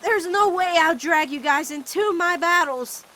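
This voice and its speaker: Woman acting as a teenage cartoon girl, very high bright voice, guilt-ridden, halting, dramatic, pleading. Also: heavily acted. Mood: earnest, insistent. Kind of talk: emotional animated-series fan comic dub.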